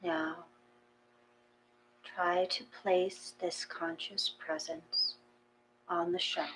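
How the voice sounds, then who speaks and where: Female meditation reader: calm, gentle, unhurried delivery with generous pauses, noisy humming background. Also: lowish voice. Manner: calm, measured, with long pauses between short phrases. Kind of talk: gentle guided meditation, read from a text.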